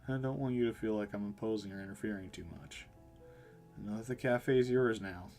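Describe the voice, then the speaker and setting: A man voicing a gentle, worried male mentor in a visual novel, soft-spoken, earnest and apologetic. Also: lowish voice, fairly quick, faint music behind, lightly acted. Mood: hesitant, earnest. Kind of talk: visual novel dialogue read aloud.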